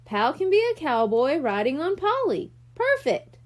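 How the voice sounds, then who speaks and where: A woman reading a children's audiobook, voicing an excitable, goofy young boy with an exclamatory, boastful manner. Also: high-pitched, a little slow. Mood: insistent.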